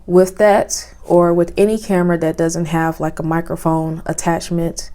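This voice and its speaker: A soft-spoken young woman, chatting casually with lots of fillers as she reviews cameras at home, recorded on a phone with a clip-on mic. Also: on the low side, flat delivery, moderate pace. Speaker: vlogger. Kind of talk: casual product review vlog. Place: home, indoors. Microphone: phone with clip-on lapel mic.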